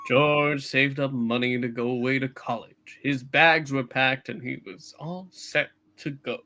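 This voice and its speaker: Slow-reading man narrating, bright voice. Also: mid-range voice, steady tone. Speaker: narrator and reader in a live play reading. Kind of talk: comedic scripted narration.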